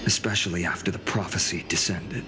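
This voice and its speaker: A man voicing a grave, thoughtful and wary adventurer in a video game, speaking in a measured way. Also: deep voice, fairly even intonation, close to an everyday voice. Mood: grave narration. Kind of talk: video game story dialogue. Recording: loud music behind the voice. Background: loud game music.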